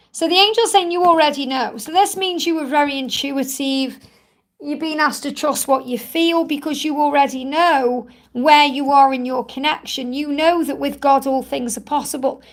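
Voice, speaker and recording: Croaky woman doing a live card reading, gravelly and high, calm and reassuring, says things twice. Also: moderate pace. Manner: soothing and reassuring, repeats phrases often. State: croaky, tired voice from much talking. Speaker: tarot card reader and live-stream host.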